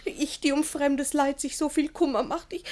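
A woman's voice: sits high, steady tone, normal speed, darkish tone, natural voice. An actress with speech playing a naive, candid young girl in a rhymed verse radio play, guileless and earnest. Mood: earnest, plaintive.

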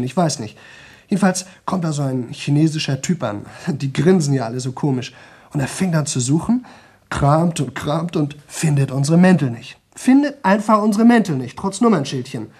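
A man's voice: a little high, a little mellow, slightly rough. An actor playing a young man in a radio drama who talks nonstop for a record, rambling, repeating himself and slipping into singsong. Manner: rambling, repetitive, chatty, drifting into singsong. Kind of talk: 1970 German radio play monologue.

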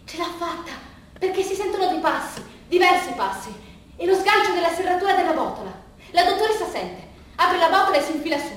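Very high-voiced woman storyteller in deep echo. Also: slight background noise. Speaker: stage actress, monologue performer. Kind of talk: dramatic theatrical monologue storytelling. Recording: very echoey, distant stage recording with music. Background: music.

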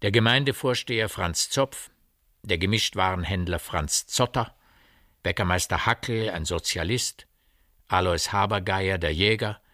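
A man narrating a dark village tale in a grave, literary style for a radio drama. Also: low voice, a little slow, no echo, studio quality. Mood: grave, measured.

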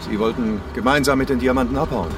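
A man's voice: mid-range voice, fast, heavy background noise, lightly acted. A man playing a terse, unflappable provincial detective in a crime radio drama, with a dry, understated way of talking. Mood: dry, probing.

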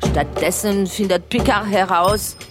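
Woman, medium pitch, slow, poetic scripted narration, audio drama, prominent music. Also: steady tone.

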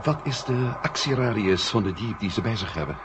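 A man playing a quick-thinking, drily wry space scientist in a 1970 radio drama, reasoning aloud with hesitant pauses. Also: on the low side, expressive, lightly acted. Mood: eager, probing. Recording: noisy, muffled 1970 radio recording.

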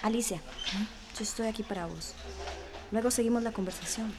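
A woman's voice: a little high, brisk, natural voice. An actress with a Costa Rican accent playing a loving, supportive young girlfriend in intimate radio drama dialogue, speaking reassuringly. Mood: gentle. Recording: clear background noise.

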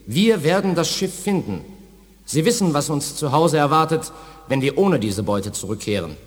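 A reptilian alien commander for a 1980s radio play. His voice sits rather high and moves dramatically, pompous and used to obedience. Long reverb surrounds every order. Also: very animated, normal speed. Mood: stern, confident. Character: imperious male reptilian alien admiral, authoritarian, easily outraged.

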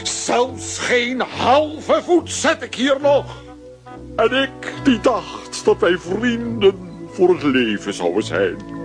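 A man's voice: very high-pitched, big pitch swings, slow, soft background music, heavily acted. Een man speelt een kale, onhandige en opvliegende oudere man in een kinderhoorspel, met Vlaams accent. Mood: indignant. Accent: Flemish. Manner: blustering, theatrical swings from mutter to shout. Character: bald clumsy older man, hot-headed. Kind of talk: children's radio play acting.

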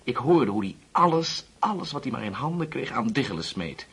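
A man's voice: mid-range voice, big pitch swings, brisk, a bit bright, husky, exaggerated voice. An actor playing a nervous, apologetic young man who brings bad news in a Dutch radio play, hesitant and earnest. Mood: anxious, shaken.

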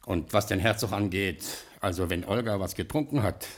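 Deep-voiced gangster boss, colloquial, blustering, anxious stammer, old radio play. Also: male voice, normal speed. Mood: nervous. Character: American gangster boss, rough, ruined and anxious castle buyer. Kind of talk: 1960s German radio play acting.

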